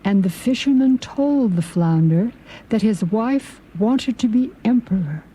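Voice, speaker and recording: A woman's voice: fairly high pitch, expressive, a little slow, mellow, a little hiss or hum, poor audio. A woman narrating a folk tale as scripted storybook narration for English learners.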